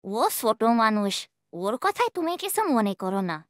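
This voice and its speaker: A woman's voice: medium pitch, very animated, normal speed, dry recording, studio quality, natural voice. A woman playing a bossy village wife in a cartoon, chiding and urging her husband. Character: nagging wife of a poor village thief. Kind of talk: cartoon voice acting.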